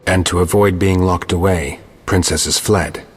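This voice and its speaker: A man playing a stoic monster hunter in a video game, terse and deadpan, with a gravelly, husky voice. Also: deep voice, measured pace. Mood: dry, accusing. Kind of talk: scripted video game dialogue. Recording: long cavernous reverb.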